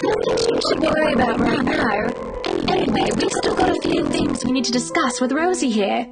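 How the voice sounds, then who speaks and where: A self-assured rich lady from a visual novel game, breezy and high-voiced. Her pitch swoops dramatically and creaks at the edges. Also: wildly swooping pitch, moderate pace, over loud music, exaggerated voice. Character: breezy, self-assured wealthy female client.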